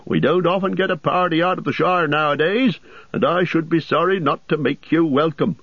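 A man's voice: husky, no echo, muffled recording. Man putting on a fussy, flustered innkeeper voice. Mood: flustered, busy. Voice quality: high, excited, hurried and fussy. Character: bustling, flustered middle-aged male innkeeper, forgetful and talkative.

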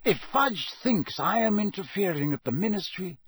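A man's voice: sits high, animated, slightly rough, no echo, pitched above the performer's own voice, heavily acted. A man doing a commanding old headmaster. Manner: measured, commanding, gently formal. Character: old wise male headmaster, calm authority.

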